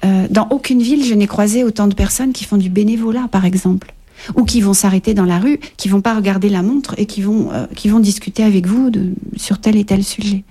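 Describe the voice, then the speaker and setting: Woman guest author, mellow tone, reflective and halting, radio interview. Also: mid-range voice, slightly rough. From Paris, France. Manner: hesitant, many fillers, warm and reflective. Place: radio studio.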